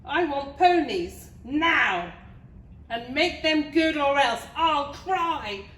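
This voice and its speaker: A woman voicing a spoiled, shouty little princess from a storybook, with a shrill, demanding, whining delivery. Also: lively intonation, slow. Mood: bossy, fussy. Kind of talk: children's storybook character voice. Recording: roomy, distant mic, faint noise.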